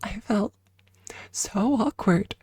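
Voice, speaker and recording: A woman streaming ASMR, chatting casually and haltingly in a soft, breathy voice that often drops into a whisper, close to the microphone. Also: medium pitch, no room sound. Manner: halting, informal, drifting between whisper and soft talk.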